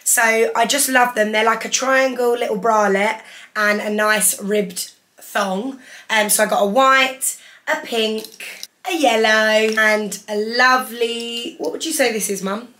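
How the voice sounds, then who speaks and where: A young woman in her twenties, hoarse from losing her voice, chatting enthusiastically through a clothing haul in her living room. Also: fairly high pitch, steady tone, measured pace, bright, forceful tone. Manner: chatty, enthusiastic, holds up each item. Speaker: fashion vlogger. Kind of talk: casual haul vlog. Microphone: camera in front, seated.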